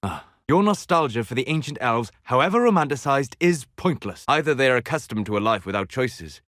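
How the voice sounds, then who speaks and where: A man playing a calm, scholarly, melancholy elven mage in a video game, speaking in deliberate, formal phrases. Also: mid-range voice, dry recording, clean studio sound, lightly acted. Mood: grave, measured. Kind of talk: scripted video game character dialogue.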